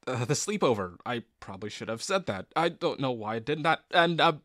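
A man's voice: mid-range voice, lively intonation, a bit bright, no room sound, studio quality, close to an everyday voice. A man playing a shy, sincere young guy in love with his best friend, speaking hesitantly and intimately to the listener in an audio roleplay. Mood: awkward, flustered. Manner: hesitant, halting, full of pauses and sighs. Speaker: voice actor.

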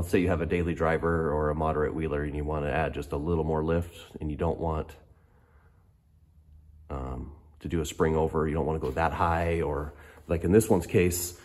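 A middle-aged man with a hoarse voice from a cold, explaining a suspension lift in an unhurried, hesitant way with many pauses and ums, filmed on a handheld phone in a garage workshop. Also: very deep voice, darkish tone. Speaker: off-road fabricator. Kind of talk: casual technical walkthrough. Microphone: handheld phone camera.

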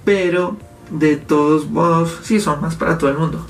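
A man's voice: a little high, steady tone, moderate pace, faint music behind. A man, talking to camera from a home office and explaining his topic, gesturing as he speaks. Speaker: YouTube host. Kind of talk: casual commentary to camera. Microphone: fixed camera, medium framing.

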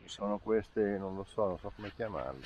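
A man's voice: fairly low pitch, lively intonation, moderate pace. A middle-aged man, chatting casually for a travel vlog. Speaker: travel vlogger. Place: outdoors and inside a camper. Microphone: handheld camera mic. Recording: muffled, noisy camera audio.

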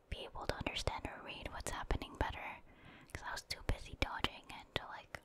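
Woman whispering softly, gaming ASMR. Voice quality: breathy, unvoiced whisper. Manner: casual, rambling, thinking aloud. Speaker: gaming ASMR video host. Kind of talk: whispered ASMR video game commentary.

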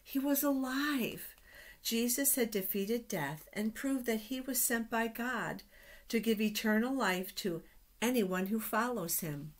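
A middle-aged woman hosting a children's storytime, reading aloud with deliberate, expressive phrasing and pauses. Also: a little high. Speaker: children's storytime host at a church. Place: small indoor office.

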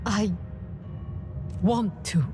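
An earnest, uncertain mother in a dark fantasy game. She speaks slowly and hesitantly at a middle pitch, subdued, with clear music underneath. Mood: hesitant, submissive. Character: anxious scholarly mother, drawn to dark power.

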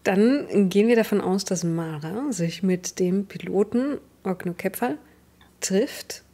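A woman's voice: mid-range voice, measured pace, mellow, slightly rough. A young adult woman narrating a tabletop role-playing game as game master, in a vivid, descriptive way.

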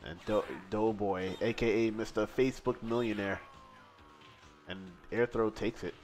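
A man co-hosting a live fighting-game stream, commentating matches and reading chat aloud, excitable and quick to laugh. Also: fairly low pitch, soft background music. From Seattle.

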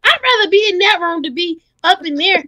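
Teasing, blunt young housemate, played by a woman: very high, bright, complaining, improvised roleplay. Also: expressive, fairly quick, full character voice. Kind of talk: amateur voiced roleplay, improvised.

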